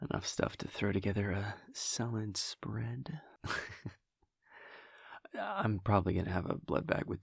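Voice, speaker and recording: A man playing a playful, affectionate vampire boyfriend in an intimate audio roleplay, talking casually and teasingly in a breathy, gravelly voice that often drops near a whisper. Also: on the low side, no echo, clean studio sound, full character voice. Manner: wry, teasing, hesitant, chuckling casual delivery.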